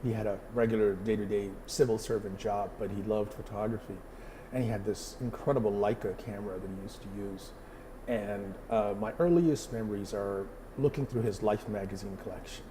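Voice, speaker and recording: A man's voice: on the low side, brisk, warm tone, a little hiss or hum, rough recording. A middle-aged man from Pakistan, a photographer answering interview questions thoughtfully, with many fillers and restarts.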